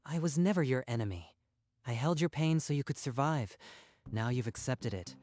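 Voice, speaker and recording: Male actor for manga shadow double: medium pitch, confessional, earnest, reconciled sorrow. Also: expressive, moderate pace, a little mellow, natural voice. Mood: sad, relieved. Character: hero's freed reflection, calm, sorrowful, reconciled. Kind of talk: dramatic manga dub dialogue.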